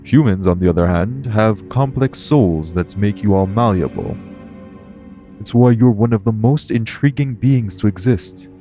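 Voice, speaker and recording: A calm guardian angel, acted by a man for an audio roleplay, speaks in a very low, mellow voice. The delivery is formal and measured, explaining things earnestly and protectively to the listener. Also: soft background music, natural voice. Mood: solemn, explaining.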